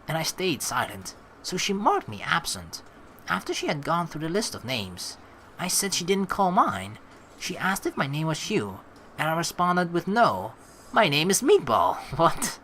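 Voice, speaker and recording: Lively young man narrating a playthrough. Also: on the high side. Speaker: let's play host. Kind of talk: reading game narration aloud with casual commentary.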